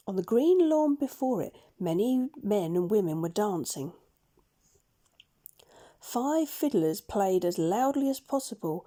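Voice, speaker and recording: A woman reading a children's story aloud in a measured, expressive storytelling manner. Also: medium pitch. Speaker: storybook reader. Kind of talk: scripted children's story narration.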